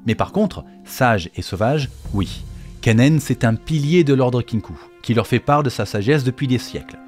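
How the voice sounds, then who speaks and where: A man's voice: medium pitch, expressive, moderate pace, a little mellow. Male narrator over faint music. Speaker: YouTube host explaining game lore. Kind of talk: scripted explanatory narration. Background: faint background music.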